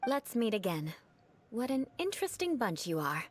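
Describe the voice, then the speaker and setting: A woman's voice: on the high side, very animated, moderate pace, slight background noise. An actress playing a proud, aloof aristocratic female knight who speaks formally with dry, haughty teasing, in video game dialogue.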